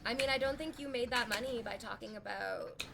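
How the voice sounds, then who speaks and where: A woman's voice: on the high side, brisk, vocal fry, a crowd in the background. A woman in her thirties, a streamer chatting casually with her viewers, rambling frankly with lots of "like". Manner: rambling, frank, heavy use of "like". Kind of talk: casual chat with live chat.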